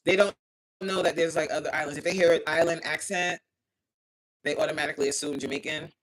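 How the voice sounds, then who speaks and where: A woman's voice: sits low, fast. Outspoken woman livestream host. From United States. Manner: animated and outspoken, exclaims often, laughs. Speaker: livestream host reading chat. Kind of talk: casual livestream chat.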